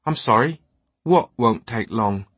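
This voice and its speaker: A man's voice: medium pitch, expressive, a little slow, a bit bright, slightly rough, no echo. A man voicing a young male altar boy in an audiobook, curt, grumbling and unsure of himself. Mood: hesitant, stubborn.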